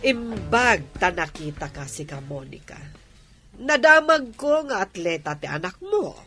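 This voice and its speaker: A woman's voice: fairly low pitch, sing-song, measured pace, pushed and bright, faint music behind, heavily acted. A woman playing a nosy, ambitious middle-aged mother in a radio drama, with an Ilocano accent. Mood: prying.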